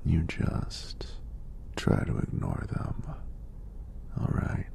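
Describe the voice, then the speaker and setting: A man playing a friendly, teasing EMT in a soft-spoken ASMR roleplay, with a deep, breathy, gravelly voice and an unhurried, reassuring manner. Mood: calm, reassuring. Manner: unhurried, reassuring, lightly playful. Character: friendly, teasing young male EMT doing a screening.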